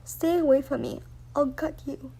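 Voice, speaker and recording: A woman's voice: sits high, steady tone, normal speed, dark tone, low-quality recording. A woman voicing a frightened, hot-tempered moonshiner man in a horror story, blustering and pleading. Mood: panicked threat.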